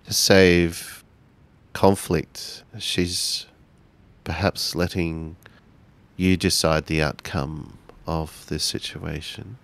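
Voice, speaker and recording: Very deep, slow-spoken man. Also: fairly even intonation, creaky, a bit bright. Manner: measured, halting with pauses. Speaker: tabletop role-playing player. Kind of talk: improvised in-character narration of a tabletop game.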